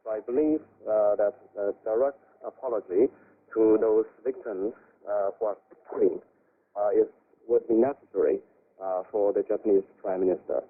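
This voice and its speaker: A man speaking as an academic guest in a radio discussion, giving measured, halting analysis over a shortwave broadcast. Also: on the low side, steady tone, narrow, tinny audio.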